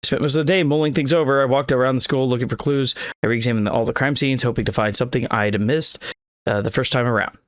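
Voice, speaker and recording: A man's voice: mid-range voice, fairly even intonation, fast. A man doing a casual video game playthrough, reading the text aloud with stumbles and wry asides. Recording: game music playing behind the voice. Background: video game music.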